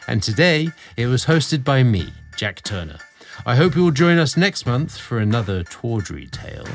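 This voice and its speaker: A man's voice: medium pitch, big pitch swings, normal speed, faint music behind. A man narrating a scripted romantic story as host, with a theatrical, sensual delivery.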